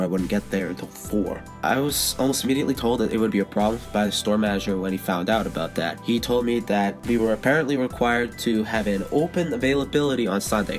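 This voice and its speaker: A young man with a cold and a stuffed-up voice, telling a story in a casual vlog with dry sarcastic asides. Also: lowish voice. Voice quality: stuffed-up nasal voice. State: has a cold. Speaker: vlogger.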